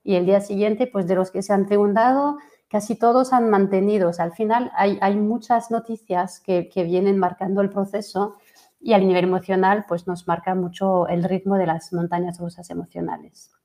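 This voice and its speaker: A woman's voice: mid-range voice, fairly even intonation, normal speed, mellow. A French woman in her forties, a fertility coach giving a webinar talk over a video call, hesitant with many fillers and restarts. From France. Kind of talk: webinar presentation.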